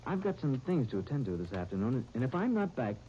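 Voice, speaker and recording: An old, noisy radio drama recording with a muffled top. A man acts an excitable, boyish young American with a lively pitch range, halting and restarting as he earnestly talks. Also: close to an everyday voice. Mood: casual, asking. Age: young adult. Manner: halting, stammering over words. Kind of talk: 1930s radio drama acting.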